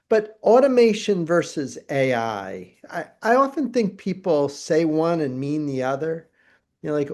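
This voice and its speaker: A man's voice: on the high side, a little mellow. Chatty male interviewer, rambling questions. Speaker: interviewer, host.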